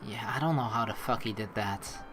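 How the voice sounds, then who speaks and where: A man's voice: on the low side, moderate pace, a bit bright, soft background music. A man hosting a let's play, mixing read-aloud narration with rambling, profane casual commentary. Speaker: let's play host reading game text aloud.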